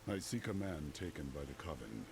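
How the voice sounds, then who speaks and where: An actor playing a grim, fearless, vengeful warrior hero in a video game, speaking in stern declarations. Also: male voice, a bass voice, expressive, fairly quick. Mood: grim resolve. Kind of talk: dramatic video game voice acting.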